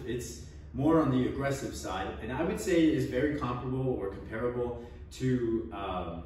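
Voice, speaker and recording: A man's voice: mid-range voice, darkish tone, distant mic, a little hiss or hum. A young man in his twenties giving a casual, joking review of gym gear in his home garage gym, filmed by a camera across the room. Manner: joking, offhand, trails off with small laughs. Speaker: fitness vlogger. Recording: echoey garage, voice off-mic.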